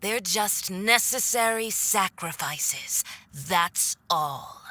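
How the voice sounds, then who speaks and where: A woman's voice: medium pitch, animated, very slow, bright, forceful tone, slight background noise, exaggerated voice. A woman playing a haughty, mocking villainous diplomat in a video game, with a condescending, theatrical drawl. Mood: disdainful. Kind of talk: video game cutscene voice acting.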